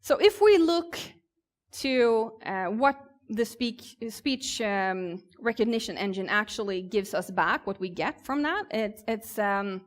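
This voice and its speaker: A woman's voice: mid-range voice, animated, slow. Swedish woman giving an informal tech talk. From southern Sweden. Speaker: conference speaker. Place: conference stage.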